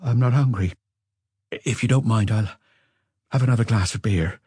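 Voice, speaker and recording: A man's voice: on the low side, moderate pace, mellow, breathy edge, no echo, clean studio sound. A man voicing a weary businessman under police questioning, earnest, wordy and self-justifying, in an audiobook. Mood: defensive.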